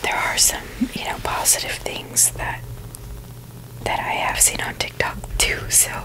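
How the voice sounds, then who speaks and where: A woman's voice: a little slow, low-quality recording. A woman whispering breathily in a rambling, informal ASMR chat, hesitant and full of "like" and "you know". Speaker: ASMR video creator.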